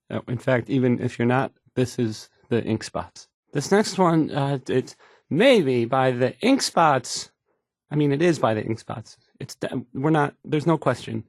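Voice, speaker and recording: A man's voice: medium pitch, dry recording, studio quality. An actor playing an awkward, insecure young male radio DJ who stammers and gets flustered introducing songs. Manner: halting, stammering, flustered, self-doubting. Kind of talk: stumbling radio song introductions.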